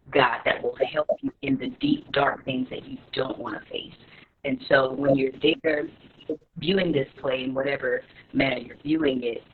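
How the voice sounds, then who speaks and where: A woman speaking as an actor and interview guest, reflective and earnest about faith, halting as she searches for words. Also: low voice. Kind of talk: reflective, earnest religious remarks. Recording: noisy, tinny phone-like connection.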